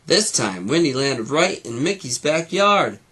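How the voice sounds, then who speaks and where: A man's voice: fairly high pitch, sing-song, a little slow, pushed and bright, breathy edge. A man narrating a children's storybook expressively, like a teacher reading to his students.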